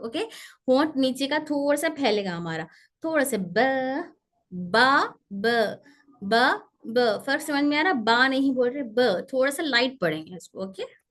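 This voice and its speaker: A woman with a high voice teaches a pronunciation class, patiently repeating each letter sound several times to demonstrate it. She explains in a steady teaching manner and mixes in English words. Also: a little slow. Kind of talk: online class lesson.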